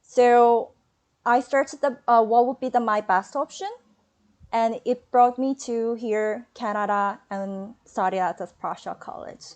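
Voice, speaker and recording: A woman with a Korean accent, a former student answering questions in a webinar interview, speaking haltingly and pausing to search for words. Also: on the high side, fairly even intonation, darkish tone.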